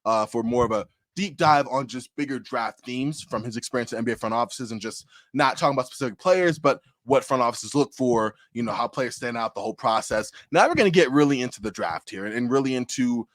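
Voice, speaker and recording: Pumped fast-talking man hosting a podcast. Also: medium pitch, no room sound. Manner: hyped, energetic, talks fast with restarts. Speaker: podcast host. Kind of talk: casual sports podcast chat.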